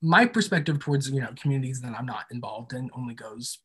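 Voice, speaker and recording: Guy being interviewed, bit raspy mid-range voice, informal and thoughtful, just chatting. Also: medium pitch, expressive, fast, fairly bright tone. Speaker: podcast creator and guest being interviewed. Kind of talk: casual interview chat.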